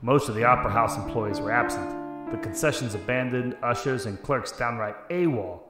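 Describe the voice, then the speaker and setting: A man's voice: medium pitch, normal speed, over music, lightly acted. A man playing a tough-talking, wry 1940s private detective in a noir radio drama, with clipped slangy wisecracks. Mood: brooding narration. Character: gruff hard-boiled 1940s private detective, wry and threatening. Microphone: close desk microphone with headset.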